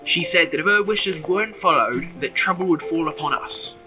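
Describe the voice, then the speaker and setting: A man's voice: a little high, normal speed, music in the background, close to an everyday voice, poor audio. A man playing an earnest, uneasy mourner in an audio drama who wants last wishes honored. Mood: insistent, worried.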